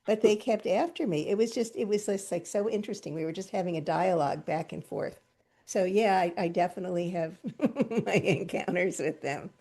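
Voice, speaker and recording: Low gravelly woman hosting a chat. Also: normal speed, dark tone. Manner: enthusiastic, chatty storyteller, laughs often. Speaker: host. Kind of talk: casual chat on a group video call.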